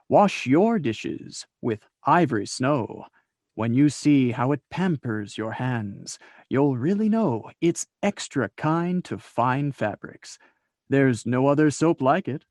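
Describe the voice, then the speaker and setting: Casting: male announcer for vintage radio soap ad, slow, polished, persuasive, close dry sound. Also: medium pitch, a little mellow, dry recording. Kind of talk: 1940s radio commercial read.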